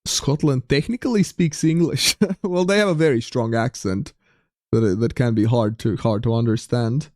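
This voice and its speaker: A man's voice: mid-range voice, normal speed, a little mellow, no echo, clean studio sound. Chatty Latvian-accented man streaming. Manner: chatty, reads chat aloud, frequent restarts. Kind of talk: casual live-stream chat with viewers.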